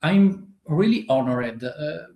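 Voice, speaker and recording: Italian guy in his 50s, fairly high voice, lots of uh and pauses while he looks for words, roomy echo. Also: animated, vocal fry, mellow. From Italy. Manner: halting, frequent uh fillers, searching for words. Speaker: author guest. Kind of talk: interview answers.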